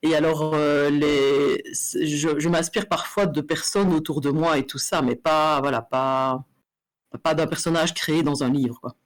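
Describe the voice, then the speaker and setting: A woman's voice: low voice, steady tone, unhurried. A Belgian woman with a Belgian French accent being interviewed about her writing, talking casually with lots of fillers, restarts and laughs. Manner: hesitant, many fillers and restarts; laughs often, light and chatty. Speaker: interviewed writer.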